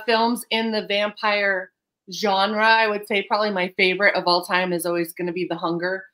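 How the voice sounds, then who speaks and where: A woman's voice: mid-range voice, fairly bright tone, rough recording. A woman in her thirties co-hosting a podcast over a video call, chatty and enthusiastic, with some fillers. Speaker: podcast co-host. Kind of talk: casual chat. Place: room at home. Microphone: video call webcam.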